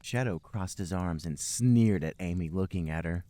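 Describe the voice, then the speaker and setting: A man's voice: low-pitched, normal speed, mellow. A man narrating fan fiction as a podcast host, in a mock-serious comic reading style.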